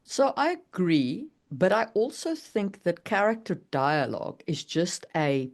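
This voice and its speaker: Female podcast co-host, conversational explainer, careful and measured in her phrasing. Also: on the low side, sing-song. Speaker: writer co-hosting a writing podcast. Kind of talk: casual explanatory discussion.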